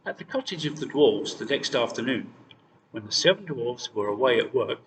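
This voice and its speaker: A man reading a fairy tale aloud as scripted, expressive narration. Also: mid-range voice, normal speed. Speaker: storyteller. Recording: distant, reverberant hall sound with noise.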